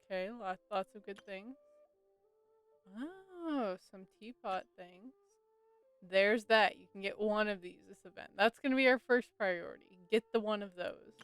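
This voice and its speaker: A woman's voice: mid-range voice, expressive, measured pace, fairly bright tone, clean tone. A woman chatting casually and enthusiastically as she streams a game. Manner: gushing, enthusiastic. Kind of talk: casual livestream gaming chat. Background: game music.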